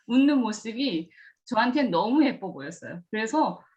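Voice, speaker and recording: A middle-aged woman, talking to students about her path into early childhood education. Her voice sits fairly high and swoops up and down a lot. She tells her story earnestly and acts out the remembered conversations. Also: normal speed, highs cut off. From rural Gyeongsang region of Korea. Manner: earnest, acts out remembered dialogue with lively pitch jumps. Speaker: kindergarten director giving a career talk. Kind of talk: storytelling career talk to students.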